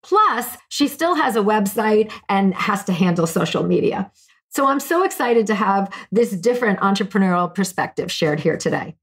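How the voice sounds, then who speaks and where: A woman's voice: medium pitch, animated, moderate pace. A woman hosting a business podcast, speaking with enthusiasm and lots of "you know" as she introduces and interviews a guest. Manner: upbeat, enthusiastic, uses fillers like "you know". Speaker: podcast host. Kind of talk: scripted intro then casual interview.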